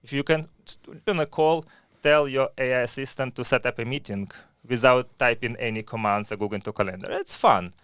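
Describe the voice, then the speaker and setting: Man, calm explainer; clean dry recording. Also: mid-range voice, smooth, no room sound. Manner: measured, explanatory, non-native phrasing. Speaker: telecom expert guest. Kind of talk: conversational podcast discussion.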